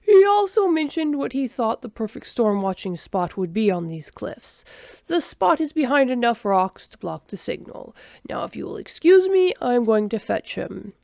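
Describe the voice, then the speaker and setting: A woman voicing a calm, stubborn male alien ecologist who speaks slowly and deliberately. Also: a little high, gravelly, no echo. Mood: firm. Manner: slow, deliberate and flatly dismissive.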